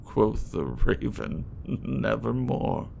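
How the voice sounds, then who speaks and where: A man reading gothic poetry in an ASMR audiobook style, slow and dramatic, in a hushed, breathy voice that often drops near a whisper. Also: lively intonation, a little hiss or hum. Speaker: narrator. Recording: rumbling rain and thunder bed. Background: rain and thunder sounds.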